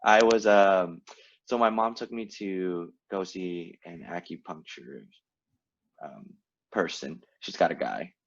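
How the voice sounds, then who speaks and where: Deep-voiced laid-back man, slightly muffled. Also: slow. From Vietnam, raised in California. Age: late 20s. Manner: laid-back, laughs often, lots of fillers. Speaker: podcast co-host. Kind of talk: casual chat. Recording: dull lo-fi sound.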